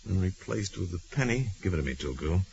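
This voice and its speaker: A man playing a calm, shrewd detective hero with a measured, commanding delivery in a 1940s radio drama. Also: deep voice, creaky. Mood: calm, focused. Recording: muffled hissy old radio recording.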